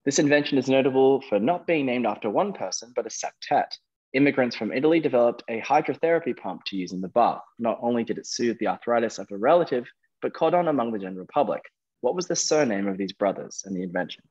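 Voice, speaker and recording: A man's voice: mid-range voice, animated, fast, a little mellow, husky. Male quizmaster, slightly muffled audio. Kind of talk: reading quiz questions and giving verdicts.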